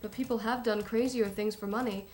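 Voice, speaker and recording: An actress playing a guarded, tentative daughter answering police questions in a naturalistic film drama. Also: female voice, mid-range voice, steady tone, a little fast, a little mellow, slight background noise, close to an everyday voice.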